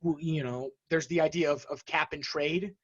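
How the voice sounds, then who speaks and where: Male co-host, notably high voice, unscripted and rambling business chat, slightly muffled lo-fi audio. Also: steady tone, moderate pace, husky. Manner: rambling, breaks off and restarts sentences. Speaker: co-host of an energy industry talk show. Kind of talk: casual unscripted banter and commentary.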